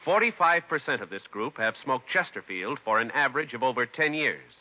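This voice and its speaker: A man's voice: medium pitch, lively intonation, fairly quick. A man announcing in a formal, scripted 1950s radio style with careful phrasing. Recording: muffled vintage radio recording.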